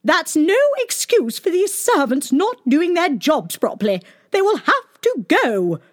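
A woman's voice: very high-pitched, very animated, a little slow, dry recording, studio quality, pitched above the performer's own voice, heavily acted. A woman voicing a strict, fussy queen in a storybook. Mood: stern. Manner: stern, clipped.

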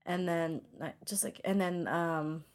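A young woman whose first language is not English talks through a topic in a loose podcast chat. Her voice sits on the low side, and she fills pauses with ums and quick giggles. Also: steady tone. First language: Filipino language. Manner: giggly, explains a topic with many fillers and restarts. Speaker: podcast co-host. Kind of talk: casual chat.

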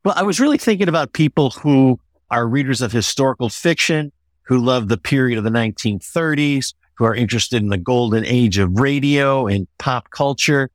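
A mature American man speaks as a guest author in an interview. His pitch sits in the middle for a man, and he explains things in a measured, conversational way. The recording is dry, with no room sound. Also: dry recording. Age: middle-aged or older.

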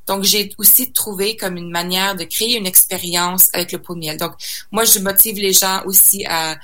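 A woman's voice: medium pitch, fairly even intonation, normal speed, pushed and bright, a little hiss or hum. A young woman from Saskatchewan, a singer guest in a casual interview, talking hesitantly with many fillers and restarts and slipping in English words. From Saskatchewan, Canada.

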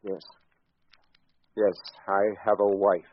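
An actor in a 1940s radio drama playing a restless married doctor, outwardly polite and secretly scheming, who speaks haltingly in old-fashioned phrases. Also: male voice, fairly low pitch, little pitch movement. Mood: earnest, passionate. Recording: muffled 1940s radio recording with music.

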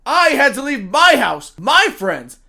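An actor playing a bitter, grieving young son in an audio drama. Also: male voice, very high voice, very animated, a little slow, vocal fry, sharp tone, heavily acted. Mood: furious, accusing. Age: young adult.

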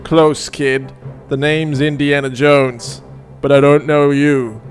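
Gruff adventurer hero acted by a male reader, terse and growling, music clearly behind. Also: fairly high pitch, level tone, slow pace.

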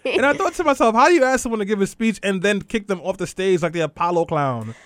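A man's voice: sits high, lively intonation, fast, a bit bright, no echo. A man with a New York accent, a slangy radio co-host in casual banter. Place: radio studio.

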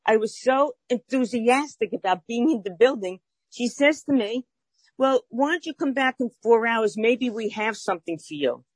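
A woman's voice: fairly high pitch, animated, moderate pace, breathy edge, dry recording, poor audio. A woman in her sixties with a Brooklyn accent, telling her life story as an interview guest, earnest and plainspoken with some fillers. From Brooklyn, New York.

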